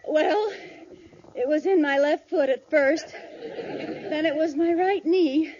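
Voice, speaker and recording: A high-pitched scheming radio housewife. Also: female voice, takes it slowly, darkish tone, some chatter behind. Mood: feigned frailty. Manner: theatrical, with exaggerated groans and put-on frailty. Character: scheming middle-class housewife feigning sickness. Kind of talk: 1950s radio sitcom acting. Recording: muffled old radio recording, audience, hiss.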